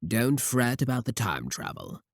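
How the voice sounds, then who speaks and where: A man's voice: medium pitch, big pitch swings, measured pace, vocal fry, dry recording, studio quality. A man playing a breezy, cheeky royal mage in a fantasy ASMR roleplay, talking in a casual, colloquial way. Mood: breezy, reassuring.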